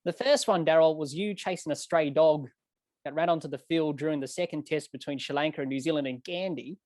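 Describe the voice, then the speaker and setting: A man's voice: on the high side, fairly quick, a little mellow, dry recording. A man hosts a podcast and works through prepared questions. He is earnest and slightly formal, and he sometimes corrects himself mid-sentence. The recording is close and very dry. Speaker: podcast host. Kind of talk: interviewing, reading prepared questions.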